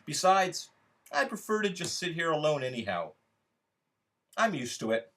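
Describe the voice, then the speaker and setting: A man's voice: a little high, very animated, normal speed, sharp tone, voice pushed up, full character voice. Male author voicing an aggrieved, lonely talker for a literary short story; sarcastic, needy, emphatic. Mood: bitter resignation. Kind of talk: dramatic first-person literary reading.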